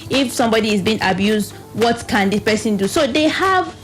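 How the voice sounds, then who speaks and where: A woman's voice: a little high, lively intonation, brisk, soft background music. A woman with a Nigerian accent speaking as a guest on a radio panel, explaining earnestly and often voicing other people's questions as she goes. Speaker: guest from a youth reproductive health organisation.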